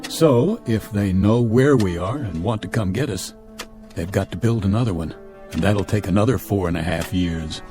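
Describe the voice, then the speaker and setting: Actor as a stern, low-voiced space captain. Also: male voice, warm tone, lightly acted. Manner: authoritative, clipped orders. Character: stern, weary spaceship captain, stranded commanding officer. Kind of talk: radio drama acting.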